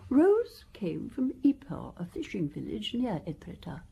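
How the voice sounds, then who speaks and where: An actress playing a dainty, composed elderly widow in a radio drama, earnest and precise with dramatic pauses. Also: female voice, medium pitch, wildly swooping pitch, creaky, muffled recording, slight background noise, heavily acted. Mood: grave, recounting. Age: older. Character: dainty, genteel, composed old French widow.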